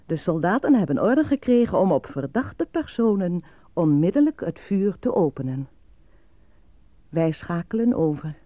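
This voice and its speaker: An actress voicing a formal, matter-of-fact state broadcast announcer reading grim bulletins in a radio play. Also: female voice, on the low side, big pitch swings, normal speed, dry recording. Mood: solemn, official. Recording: dry, dull lo-fi radio recording.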